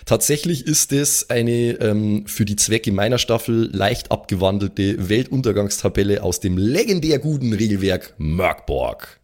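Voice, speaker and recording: Low-voiced Austrian man chatting casually. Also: expressive, measured pace, few pauses, a little mellow. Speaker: tabletop game master and podcast regular. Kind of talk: casual reflective chat, German with English gamer slang.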